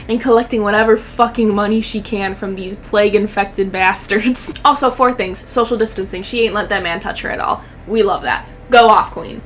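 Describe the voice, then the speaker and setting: A woman's voice: on the high side, fairly bright tone, slight background noise. A woman giving casual comedic reaction commentary in a sarcastic, exaggerated way, swearing freely. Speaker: YouTube commentary host.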